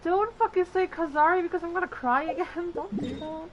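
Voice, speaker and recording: A woman's voice: very high voice, fairly quick, dull audio, slight background noise. Casual, sweary woman gamer. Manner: sweary, informal, self-deprecating. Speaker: gamer commenting on her gameplay. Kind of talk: casual gameplay commentary. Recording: game music behind voice.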